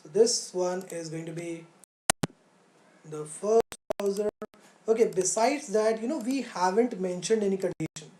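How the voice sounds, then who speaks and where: A woman teaching a live online class step by step, thinking aloud haltingly, repeating key phrases and posing questions that she then answers. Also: mid-range voice, mellow, a little hiss or hum. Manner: poses questions and answers them. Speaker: coding teacher. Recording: roomy, echoey sound.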